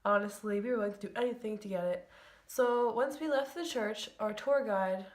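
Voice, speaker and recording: A woman's voice: mid-range voice, brisk. A young woman telling a chatty, giggly storytime vlog full of "like" fillers. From United States. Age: young adult. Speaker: vlogger.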